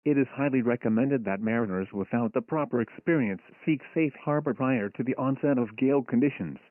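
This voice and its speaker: A man's voice: mid-range voice, brisk, no room sound. A man's synthetic voice reading a scripted emergency weather bulletin in a formal, impersonal manner. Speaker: weather radio announcer. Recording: tinny band-limited weather radio.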